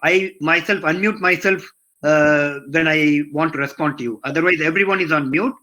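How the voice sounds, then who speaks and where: A man's voice: on the high side, moderate pace, pushed and bright. A man moderating a webinar, answering politely and calling the speaker "doctor".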